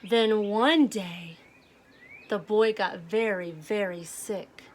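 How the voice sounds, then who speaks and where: A woman reading a storybook aloud to children, speaking in a deliberate, simple way. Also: medium pitch, slight background noise. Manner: deliberate, simple phrasing addressed to young children. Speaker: children's read-aloud host.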